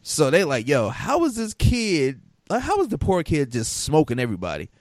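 A man's voice: on the high side, wildly swooping pitch, normal speed, no room sound, clean studio sound. Slangy laid-back male podcast co-host. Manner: loose slangy storytelling, lots of fillers. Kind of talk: casual chat.

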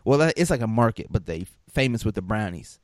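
A man's voice: on the low side, expressive, fast talker, no room sound, clean studio sound. A man co-hosting a podcast in casual chat, telling stories in loose slang with lots of fillers. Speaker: podcast co-host.

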